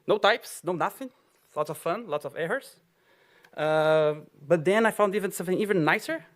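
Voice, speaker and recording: A man's voice: sits high, normal speed. Brazilian man giving a chatty tech talk. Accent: Brazilian Portuguese. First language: Portuguese. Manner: loose, chatty, many "like" fillers and small laughs. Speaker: conference speaker. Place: auditorium.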